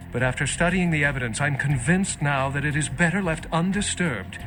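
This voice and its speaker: An actor playing a cautious, learned vampire scholar in a video game, speaking in an earnest, measured, erudite way. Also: male voice, mid-range voice, lightly acted, rough recording.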